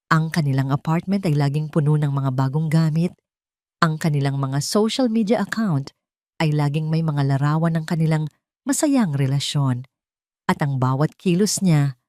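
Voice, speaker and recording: Female drama storyteller, lower register, very dry close sound. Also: dark tone, no echo. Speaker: audio story narrator. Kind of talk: dramatic scripted story narration.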